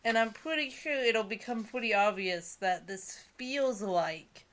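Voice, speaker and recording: Young female co-host, bright and a touch high, tossing out exasperated, joking remarks in loose casual banter. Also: measured pace. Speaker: co-host of a comedic book-reading show.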